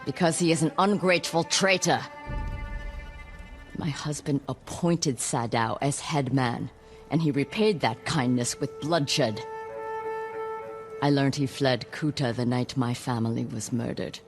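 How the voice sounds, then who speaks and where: A woman acting a bitter, vengeful older noblewoman warrior in a video game, severe and proud, with a gravelly voice. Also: very deep voice, unhurried, faint music behind. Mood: cold contempt.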